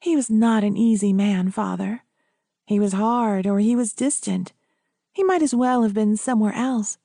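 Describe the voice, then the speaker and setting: A woman's voice: mid-range voice, normal speed, vocal fry, warm tone, dry recording, studio quality. A woman voicing a guarded, troubled young widow in an audiobook, speaking with hesitant, restrained frankness. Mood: bitter, restrained.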